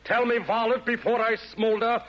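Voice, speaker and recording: An actor in a 1940s radio verse comedy playing a vain, irritable emperor in hell, peevish and imperious. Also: male voice, extremely high pitch, little pitch movement, moderate pace. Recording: muffled 1940s radio broadcast.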